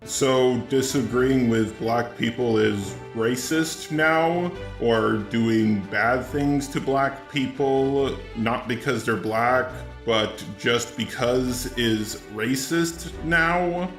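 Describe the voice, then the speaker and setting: A man's voice: mid-range voice, drawn-out words, hardly any pauses, soft background music. Echoey synthetic man's robot voice. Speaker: commentary video host. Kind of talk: scripted video commentary.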